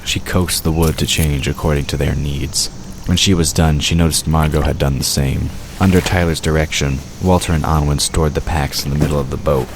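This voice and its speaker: A male narrator with an exceptionally deep voice reads a scripted fantasy story. Nature sound effects run clearly behind him. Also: noisy background.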